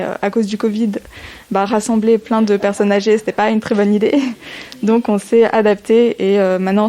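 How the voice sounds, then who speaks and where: A woman's voice: mid-range voice, mellow. A woman aged twenty-one from eastern France, explaining things informally in an interview. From Bourg-en-Bresse, eastern France. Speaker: civic service volunteer.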